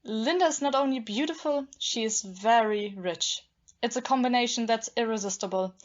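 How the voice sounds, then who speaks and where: A woman's voice: fairly high pitch, moderate pace, lightly acted. A woman playing a bitter, obsessive young jilted woman with brittle politeness in an audio play. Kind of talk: amateur audio play acting. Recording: dull, muffled lo-fi.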